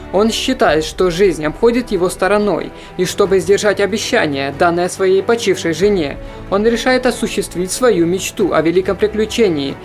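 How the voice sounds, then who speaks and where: A man reading a scripted voice-over about animated films in an even, informative manner. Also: sits high. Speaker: film review narrator. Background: soft background music.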